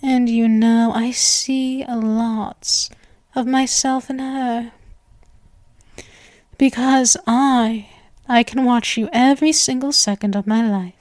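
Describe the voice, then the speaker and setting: A woman's voice: fairly high pitch, fairly even intonation, slow pace, darkish tone, no echo. A woman voicing an obsessive, doting, gleefully morbid young vampire in an intimate, theatrical ASMR roleplay monologue. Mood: adoring, obsessive. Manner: gushing, theatrical, breathy asides, lovestruck.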